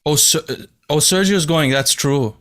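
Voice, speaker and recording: A man's voice: fairly high pitch, a little slow, a bit bright. Arabic-accented man hosting a chat. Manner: chatty, explains at length with "bro" and "like". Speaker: podcast host. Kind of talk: casual podcast chat. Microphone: video call.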